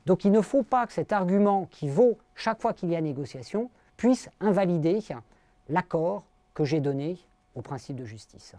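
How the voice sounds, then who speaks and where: Halting middle-aged male lecturer, mellow voice. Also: high voice, animated, no room sound. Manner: hesitant, full of pauses and fillers; explanatory, addresses listeners directly. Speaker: philosophy lecturer. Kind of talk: academic lecture to an audience.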